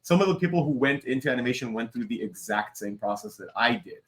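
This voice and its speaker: A man's voice: mid-range voice, lively intonation, fast, fairly bright tone. Man chatting in an interview. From Israel. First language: Hebrew. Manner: rambling, many fillers and asides, upbeat. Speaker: guest, creative director and comic writer. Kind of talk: casual interview chat.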